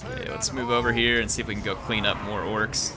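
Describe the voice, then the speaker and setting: A man's voice: on the low side, little pitch movement, quick pace, noisy background. A man hosting a gaming channel, giving playful, jokey live commentary on a battle and chatting with his viewers.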